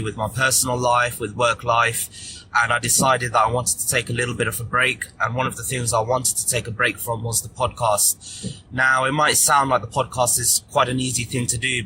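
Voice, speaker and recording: A man hosting his own podcast, giving a relaxed and earnest solo update with casual fillers. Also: mid-range voice, noisy background. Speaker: podcast host. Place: at the gym.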